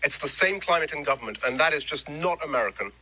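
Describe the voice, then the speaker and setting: A man answering questions in a news interview, explaining policy in measured detail with frequent "uh" fillers. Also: fairly high pitch, expressive, pushed and bright, slight background noise. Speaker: interview guest, former government official.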